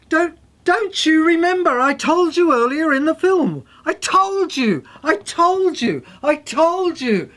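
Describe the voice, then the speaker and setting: A woman's voice: sits high, exaggerated voice. A woman performing a theatrical dramatic monologue as an unhinged mad scientist in an asylum, rambling obsessively in repetitive fragments. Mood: frantic, insistent. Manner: stammering, repetitive, fragmented delivery.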